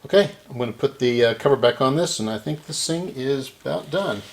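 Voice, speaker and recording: A man's voice: medium pitch, lively intonation, fairly quick, heavy background noise. A man giving a casual repair demonstration, talking through each step as he works. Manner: talks through each step while working. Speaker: repair channel host.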